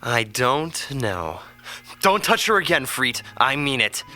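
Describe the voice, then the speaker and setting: A man's voice: medium pitch, sing-song, slow pace, pushed and bright, exaggerated voice. An actor playing a defiant young male captive in an audio drama, desperate and protective, urgent and strained. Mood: defiant, weary. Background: dramatic background music.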